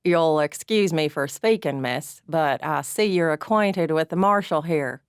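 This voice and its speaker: Woman doing a gruff, glum male prisoner. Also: low voice, measured pace, no room sound, clean studio sound. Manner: gruff, growling, plain-spoken.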